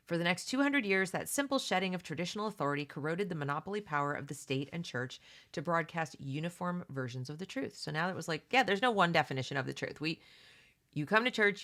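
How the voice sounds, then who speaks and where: Low-voiced woman, conversational and emphatic. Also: a bit bright. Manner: conversational, emphatic, often addressing listeners directly. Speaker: host of a read-along commentary channel. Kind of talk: reading a book aloud with casual, opinionated commentary.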